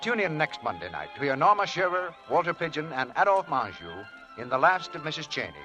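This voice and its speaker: A man announces in scripted 1940s radio style. His voice moves through a lively range of pitch, with orchestral music playing behind him. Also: fairly quick, highs cut off.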